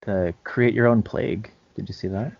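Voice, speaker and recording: A man's voice: lowish voice, moderate pace, gravelly, dry recording, lo-fi sound. A man co-hosting a podcast in easygoing, casual chat. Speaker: podcast co-host.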